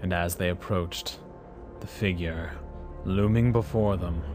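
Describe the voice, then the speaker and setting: A man's voice: low-pitched, steady tone, measured pace. A man narrating an adventure serial in a dramatic, old-time style, melodramatic and suspenseful. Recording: prominent music behind the voice.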